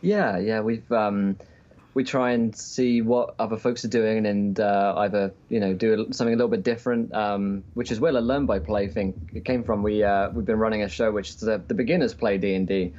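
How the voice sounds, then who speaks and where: Low-voiced man on a dull call. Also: fairly even intonation, fairly quick, darkish tone. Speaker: podcast guest, tabletop game streamer. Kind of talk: casual interview chat. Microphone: remote call-in.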